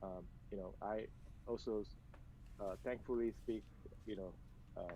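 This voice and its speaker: A man from Malaysia, a games executive, answering interview questions over a video call in a soft, hesitant way with many pauses and fillers. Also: on the low side, steady tone, slight background noise. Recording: muffled video-call audio.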